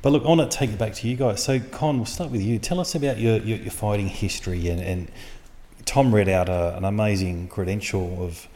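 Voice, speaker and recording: Male co-host, lowish voice, reflective chat, reverberant room. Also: fairly quick, mellow. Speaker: podcast co-host. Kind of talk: casual podcast chat.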